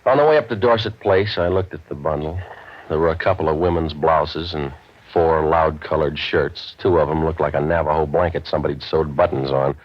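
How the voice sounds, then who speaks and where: An American man playing a cynical, wisecracking hardboiled waterfront detective in an old radio drama, with a deadpan, sardonic delivery. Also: rumbling low, fairly quick. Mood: wry, world-weary. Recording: muffled old radio broadcast recording.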